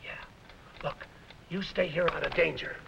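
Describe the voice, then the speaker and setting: An actor playing an excitable, wisecracking American soldier in a 1950s slapstick war comedy film. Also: male voice, fairly high pitch, measured pace, gravelly, background noise. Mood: brisk, wary. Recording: old 1950s film soundtrack, loud music.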